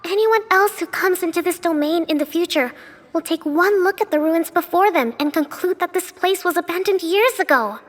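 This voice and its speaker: A bold, persuasive young general. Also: female voice, extremely high pitch, big-room sound. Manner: earnest, persuasive speeches. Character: confident young woman general, rousing and bold. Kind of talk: video game cutscene dialogue.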